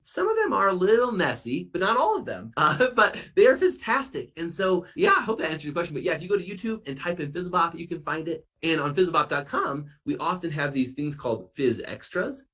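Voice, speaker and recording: A man playing an excitable, wonder-filled science doctor for children, gushing and emphatic. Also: fairly high pitch, fairly quick, few pauses, breathy edge, voice pushed up, full character voice. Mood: eager, promotional. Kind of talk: kids' science show character.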